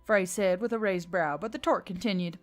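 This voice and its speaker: A woman's voice: lowish voice, a little fast, soft background music. A woman reading scripted fiction as an audiobook narrator.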